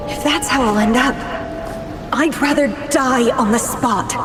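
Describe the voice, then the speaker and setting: Slow, echoing, brooding young woman warrior. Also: high voice. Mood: bitter, sorrowful. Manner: deliberate, introspective, dramatic. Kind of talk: dramatic video game voice acting, monologue. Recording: echoey long reverb, loud music. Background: loud game soundtrack music.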